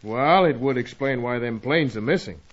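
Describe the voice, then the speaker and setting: An actor playing a gruff, money-minded American seaplane pilot in an adventure radio serial, slangy, curt and callous. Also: male voice, medium pitch, animated, normal speed, lightly acted. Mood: cynical, dry. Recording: dull lo-fi audio with engine noise.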